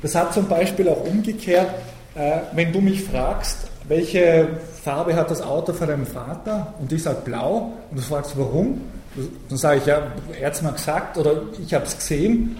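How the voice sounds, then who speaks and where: A German-speaking man lectures in a strongly echoing room, and his voice sits a little high but sounds mellow and dark. He talks in a thoughtful, hesitant way, often pausing on fillers. Faint noise hums behind him. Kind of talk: academic talk and discussion.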